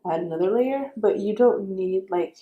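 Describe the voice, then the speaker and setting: A young woman with a raspy voice giving a chatty makeup tutorial, correcting herself and offering friendly advice. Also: mid-range voice, lively intonation, moderate pace, phone-quality sound. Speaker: beauty vlogger.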